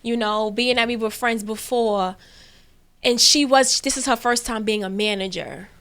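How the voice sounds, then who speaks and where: A woman's voice: a little high, normal speed, a bit bright. A woman guest on a radio show, a member of a music duo, talking openly and frankly. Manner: outspoken, candid. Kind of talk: casual radio interview chat.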